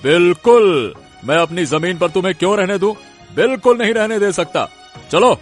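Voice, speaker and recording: A man's voice: high voice, expressive, moderate pace, soft background music. A man voicing a sly village land-grabber in a cartoon story, taunting and condescending. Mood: domineering.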